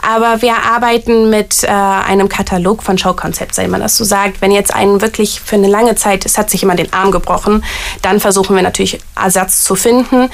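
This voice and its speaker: A woman's voice: mid-range voice, fairly even intonation, brisk, creaky. A woman, chatting in an interview and explaining her work as an artist coordinator at a variety theatre step by step. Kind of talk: casual interview answers.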